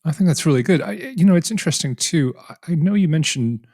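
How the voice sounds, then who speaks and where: A man's voice: a little high, lively intonation, fast talker, a little mellow, dry recording, studio quality. A man hosting a podcast interview, thinking aloud with frequent restarts and self-corrections. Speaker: podcast host. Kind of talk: conversational interview.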